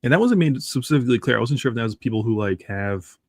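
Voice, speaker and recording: A man's voice: mid-range voice, animated, quick pace, darkish tone, no room sound. A man with a stuffy nose from the flu, chatting casually and rambling on a live stream. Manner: rambling, chatty, reads comics aloud.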